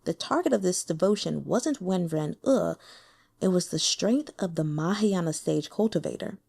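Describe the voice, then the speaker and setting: A woman reading a translated fantasy novel aloud as an audiobook, with expressive, measured storytelling. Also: lowish voice, gravelly, husky. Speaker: audiobook reader.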